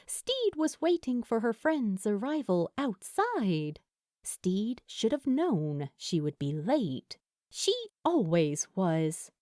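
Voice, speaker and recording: A woman reading scripted fiction as a storyteller, in an expressive way. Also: a little high, no echo, studio quality. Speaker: audiobook-style fan fiction narrator.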